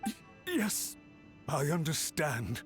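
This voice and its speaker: A man's voice: fairly high pitch, huge pitch swings, slow pace, faint music behind, heavily acted. A man playing an anxious, deferential clan official in a video game, apologetic and pleading in his formal speech. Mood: cowed, deferential. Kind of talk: scripted game dialogue.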